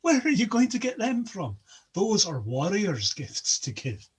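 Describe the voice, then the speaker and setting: A man's voice: sits high, huge pitch swings, measured pace, slightly rough, pitched above the performer's own voice, heavily acted. A man doing Loki, a sneering trickster god. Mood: mocking. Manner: mocking, scornful.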